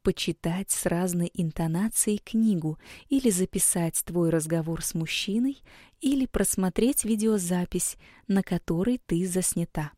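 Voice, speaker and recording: A woman with a mellow, warm voice gives a scripted lesson and speaks to her listener in a soft, coaxing way. Her recording is close and dry, with no room sound at all. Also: lowish voice, moderate pace, no room sound. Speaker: women's school coach. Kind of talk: scripted instructional narration.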